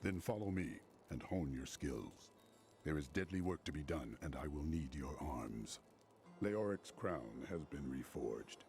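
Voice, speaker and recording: Very deep-voiced stoic warrior. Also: male voice, lively intonation, measured pace, gravelly, a little mellow, heavily acted. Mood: stern. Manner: terse, formal, commanding. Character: stern, stoic warrior hero, resolute. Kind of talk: fantasy video game voice acting. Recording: music behind the voice.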